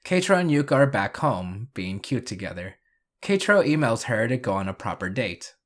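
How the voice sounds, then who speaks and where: A man's voice: medium pitch, big pitch swings, a little fast. A man narrating a scripted video review and recap, with dry, sarcastic asides.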